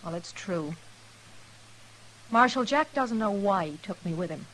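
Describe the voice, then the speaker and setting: A woman's voice: medium pitch, animated, unhurried, fairly bright tone, low-quality recording. An actress playing an earnest, devoted young frontier woman in an old radio western, pleading her case. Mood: earnest, insistent. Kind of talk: old-time radio western drama acting. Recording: hissy vintage radio recording.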